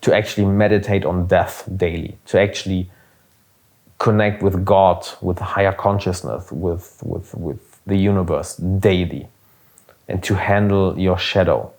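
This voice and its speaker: A man's voice: deep voice, fairly even intonation, a little slow, darkish tone. A young man with a German accent, hosting a casual motivational podcast in an earnest, emphatic way with some swearing. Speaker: podcast host and business coach.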